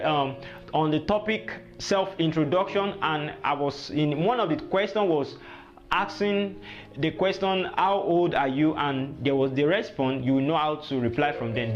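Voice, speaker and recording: A Nigerian man with a notably high voice teaches in an informal, encouraging way. He keeps prompting the learner with questions and repeats things for them. The audio is dull and lo-fi, with music playing behind him. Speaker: language teacher. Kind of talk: informal online lesson with questions and repetition.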